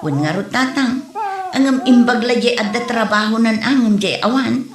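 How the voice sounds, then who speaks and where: A woman's voice: a little high, moderate pace, close to an everyday voice. A woman with an Ilocano accent playing a weary, hot-tempered young wife and mother in an audio comedy drama. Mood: weary, plaintive. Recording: roomy echo, faint background noise.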